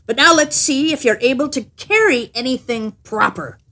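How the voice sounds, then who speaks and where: A woman voicing a huge, contemptuous male giant in a storybook, challenging and blustering, with slow, emphatic delivery. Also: high voice, pushed and bright.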